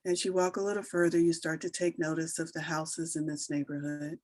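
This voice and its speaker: A woman with a low voice guides a meditation in a soothing, unhurried manner. She leaves long pauses between her gentle instructions. The sound is dry, with very little room around the voice. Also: little pitch movement, no echo. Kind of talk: slow guided meditation narration.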